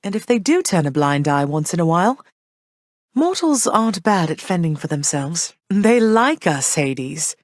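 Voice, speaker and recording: A gracious underworld queen and loving mother, speaking in a lowish, calm voice with warm reassurance. Her lines are polished game dialogue recorded dry and close, with no room sound. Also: a little slow, no echo. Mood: persuasive. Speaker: game character.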